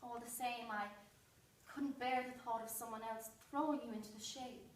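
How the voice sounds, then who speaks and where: A woman's voice: on the high side, fairly even intonation, normal speed, close to an everyday voice. Woman acting a haughty, cool young wife, echoey. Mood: defiant, cold. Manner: curt, controlled, disdainful. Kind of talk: stage drama acting. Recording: noisy, echoey room, distant microphone.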